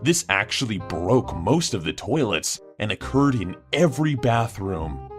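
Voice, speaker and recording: A man narrating short anecdotes in a casual, emphatic storytime style. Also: fairly low pitch.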